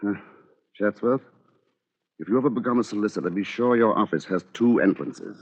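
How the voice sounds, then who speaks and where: An actor playing a coldly arrogant solicitor in a vintage radio thriller, formal, articulate and sardonic, on an old radio recording. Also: male voice, fairly low pitch, fairly quick, highs cut off, lightly acted. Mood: brisk, dry. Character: coldly arrogant English solicitor confessing to murder. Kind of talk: 1940s radio thriller acting.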